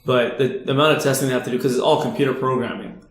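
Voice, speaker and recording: A man's voice: mid-range voice, fairly even intonation, racing. A man, chatting casually on a podcast. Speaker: podcast participant. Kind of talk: casual chat. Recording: roomy, echoing sound.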